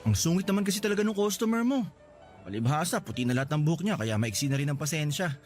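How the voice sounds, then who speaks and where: A cheerful young coworker who cracks jokes all the time, played by an actor in a radio drama. His voice sits a little high and swings lively up and down as he teases and brags in jest. Faint music plays behind him. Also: quick pace, close to an everyday voice. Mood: teasing. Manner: playful, teasing, boastful in jest. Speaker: Lester.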